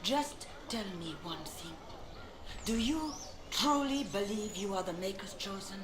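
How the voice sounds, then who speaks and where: A woman playing a proud, weary senior cleric in a fantasy video game, speaking formally with measured reproach. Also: medium pitch, a crowd in the background, natural voice. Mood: bitter, accusing. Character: stern, weary high-ranking cleric woman, proud and doubting. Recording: echoey, noisy outdoor ambience.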